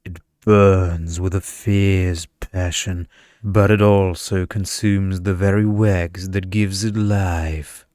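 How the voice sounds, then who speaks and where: A man voicing a boring, seductive male energy vampire in a storybook, with a murmuring, hypnotic monotone. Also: deep voice, slow, dry recording, studio quality. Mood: seductive, insistent. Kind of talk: comic ASMR romance storybook reading.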